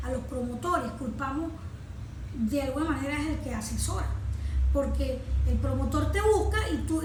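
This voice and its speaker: A woman with a Venezuelan accent, speaking as a consultant guest and explaining her work conversationally. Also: high-pitched. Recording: echoey room, clear background noise.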